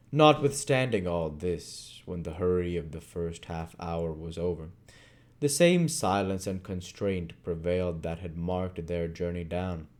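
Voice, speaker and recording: A man narrates a classic novel. His voice is on the low side and very mellow. He reads in deliberate phrases, giving key words dramatic emphasis. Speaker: audiobook reader. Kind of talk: reading a classic novel aloud.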